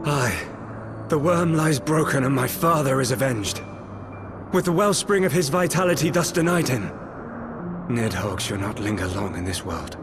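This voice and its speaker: A man's voice: medium pitch, normal speed, over music, lightly acted. A man playing a solemn, penitent noble knight prince in a fantasy video game, speaking in grave, formal, archaic oratory. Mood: grim triumph.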